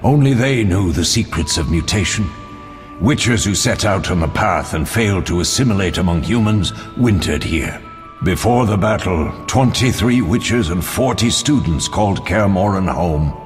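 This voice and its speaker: An actor playing a grave, fatherly older mentor of monster hunters in a video game, speaking in a measured, solemn way. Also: deep voice, animated. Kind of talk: dramatic video game dialogue. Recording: long hall reverb with music behind.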